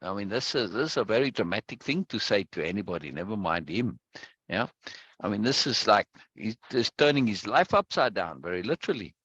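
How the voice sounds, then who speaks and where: A man leading a casual chat over a video call on a close boom mic, explaining his point at length and often checking "right?" or "yes?". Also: very animated, fairly quick, breathy edge, dry recording, rough recording. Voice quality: loud. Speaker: discussion leader.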